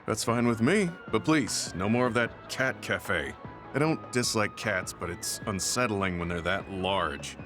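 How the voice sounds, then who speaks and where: Stoic old-school soldier in a game, low voice, serious n measured, music playing behind. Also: male voice, lively intonation. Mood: reserved, mildly pleased. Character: reserved, old-fashioned male veteran soldier, stoic and thoughtful. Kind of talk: video game voice acting. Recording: background music over dialogue.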